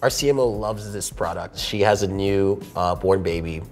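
A man's voice: sits low, moderate pace, soft background music. A man in his thirties hosting a business interview, enthusiastic and affirming, in an office. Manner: enthusiastic, affirming with frequent yeahs. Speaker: interview host. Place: open-plan office, indoors.

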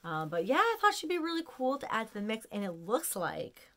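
A woman in her forties with an American accent, chatting casually and excitedly to camera in a room at home. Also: on the high side, brisk. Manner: excitable, gushing. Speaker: vlogger showing a haul of collectibles. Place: small room at home, indoors.

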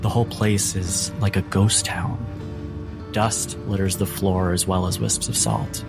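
Male game master, lowish voice, deliberate scene-setting narration over music. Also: sing-song, gravelly. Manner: measured, descriptive, with dramatic pauses.

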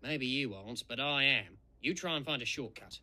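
A man's voice: mid-range voice, animated, moderate pace, pushed and bright, soft background music. A man voicing a cocky, theatrical red steam engine who plays detective in a cartoon dub. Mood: determined. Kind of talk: cartoon fan dub acting.

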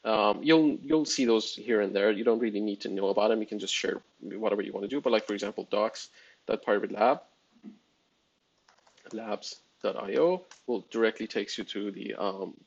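A man whose first language is Farsi, walking through a software release in a relaxed, rambling way, with frequent fillers. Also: lowish voice, moderate pace, gravelly, lo-fi sound. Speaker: software developer presenting a release. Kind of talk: casual screen-share walkthrough.

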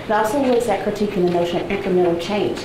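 A woman in her forties giving an academic talk to a seated audience, soft-spoken and conversational with frequent ums, heard from a distant camera in a large seminar room. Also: mid-range voice, quick pace. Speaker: guest lecturer. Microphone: far camera, no visible mic. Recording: echoey room, noisy background.

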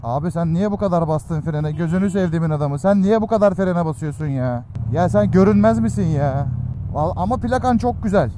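Middle-aged man, high-ish voice, chatty motorcycle vlogger grumbling at traffic, steady road noise behind. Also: a little fast. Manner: chatty, ranting, talks to himself and to other drivers. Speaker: motovlogger. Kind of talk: casual ride commentary. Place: riding a motorcycle in city traffic outdoors. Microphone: helmet or action camera mic. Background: traffic and wind noise.

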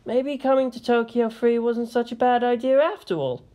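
A woman's voice: fairly high pitch, steady tone, moderate pace, dull audio, voice pushed up, lightly acted. A woman voicing an earnest, awkward teenage boy in an audio story, with a hesitant delivery. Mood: polite.

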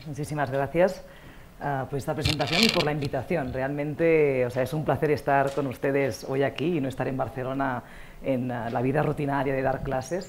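A middle-aged woman, a native Catalan speaker with Panamanian-influenced Spanish, giving an animated, conversational academic lecture with frequent check-in tags. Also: rumbling low. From Catalonia, Spain. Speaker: guest lecturer, academic anthropologist. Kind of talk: informal spoken academic lecture. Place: lecture hall stage. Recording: clear background noise in auditorium.

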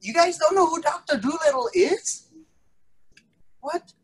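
A middle-aged man telling a playful story to children as their instructor, theatrical, with drawn-out emphasis and pauses. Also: very high voice, animated. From an island country. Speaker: martial arts instructor telling stories to children. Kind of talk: playful interactive storytelling for kids. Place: indoor wood-panelled studio, on a video call.

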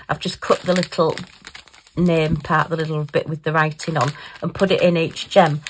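Lady vlogging crafts at home, lowish voice w some fry, giggly and chatty. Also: a bit bright, slight background noise. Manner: chatty, giggly, self-mocking. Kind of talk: casual unboxing vlog.

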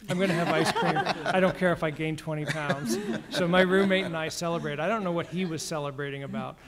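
Higher-voiced man in a reverberant hall. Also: fast, a loud crowd. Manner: relaxed, good-humored storytelling. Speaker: patient panelist. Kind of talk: conference panel discussion. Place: conference hall stage panel. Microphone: stand microphone. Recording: long reverb of a conference hall.

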